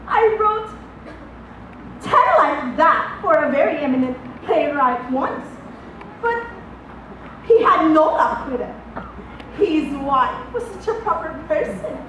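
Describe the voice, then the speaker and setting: A woman acting a worldly, self-assured stage actress in a play, speaking grandly and teasingly with dramatic pauses. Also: high-pitched, sing-song, fairly bright tone, full character voice. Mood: playful, teasing. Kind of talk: theatrical one-act stage play acting. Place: large auditorium stage, distant microphone. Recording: echoey noisy auditorium from far off.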